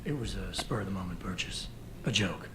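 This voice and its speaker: A man's voice: sits low, a little fast, heavy background noise, lightly acted. An actor playing a wry, guarded man in a video game cutscene, with a dry, terse delivery. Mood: wry, teasing. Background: game score.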